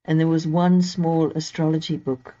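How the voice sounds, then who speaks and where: A woman's voice: low-pitched, little pitch movement, moderate pace, mellow. A woman from Zimbabwe, a guest astrologer, telling stories from her past in a reflective, anecdotal way over a remote call. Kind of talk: conversational interview storytelling. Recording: slightly dull lo-fi audio.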